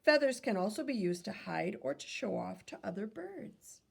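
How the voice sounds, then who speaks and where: A woman reading aloud to children like a teacher, in an instructive manner, pausing to pose questions to young listeners. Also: mid-range voice, expressive, creaky, slightly rough.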